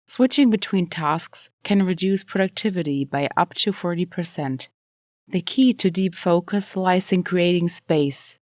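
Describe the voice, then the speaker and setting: A woman reading scripted nonfiction as an audiobook narrator, in measured, clearly phrased delivery. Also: on the low side, a little mellow, no echo, clean studio sound.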